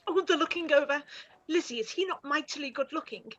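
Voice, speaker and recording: A woman playing a silly, excitable teenage girl in a period radio play, gushing and petulant. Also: high-pitched, a little fast, dull audio. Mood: admiring.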